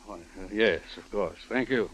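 Casting: slippery, polite attorney for vintage radio, husky and creaky, guarded and formal. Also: male voice, fairly low pitch, normal speed, fairly bright tone, poor audio. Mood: guarded, uneasy. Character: guilty, evasive male attorney, outwardly polite. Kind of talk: 1940s radio drama acting.

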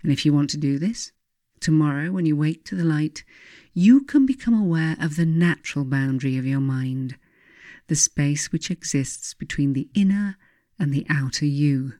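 Mellow, low-key woman narrating self-help. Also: animated, dry recording, studio quality. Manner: deliberate, earnest, addressing listener directly. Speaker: author reading own self-help book. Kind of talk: scripted audiobook narration, spiritual self-help.

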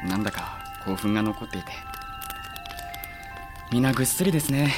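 An actor playing an earnest, deferential young samurai aide, polite and excitable, in a period audio drama. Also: male voice, on the low side, brisk, over music, lightly acted. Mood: quiet, earnest.

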